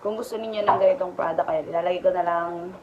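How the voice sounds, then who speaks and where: A woman's voice: lowish voice, steady tone, fast talker, muffled recording, very noisy. A Filipino woman giving a casual hands-on product tutorial in Taglish, talking informally and directly to her viewers.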